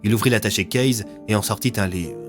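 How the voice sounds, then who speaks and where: A man's voice: on the low side, a little fast. A man narrating scripted, dramatic audio fiction as a storyteller, with music underneath.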